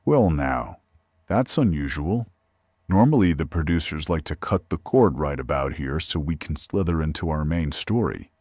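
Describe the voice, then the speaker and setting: A man playing a droll, macabre late-night radio horror host, theatrical and sardonic with deadpan humour. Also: rumbling low, normal speed. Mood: menacing, courtly. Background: faint music.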